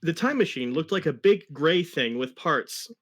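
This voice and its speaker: A man's voice: a little high, expressive, moderate pace, fairly bright tone. A man reading a story aloud in an amused, mock-dramatic style, with casual asides. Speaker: reader of a fan story.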